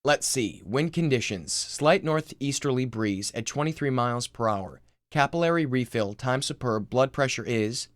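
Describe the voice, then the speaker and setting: A man's voice: medium pitch, lively intonation, normal speed, dry recording, clean studio sound, lightly acted. A man voicing a brash, self-obsessed father in his forties, boastful and exasperated, in a screenplay reading. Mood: self-absorbed.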